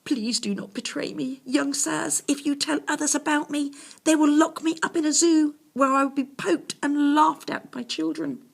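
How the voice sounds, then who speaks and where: A woman's voice: high voice, normal speed, vocal fry, voice pushed up. A woman voicing an ancient, self-pitying male dragon from a storybook, quavering and plaintive, with grand old-fashioned speech. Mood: pleading. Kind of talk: storybook character reading.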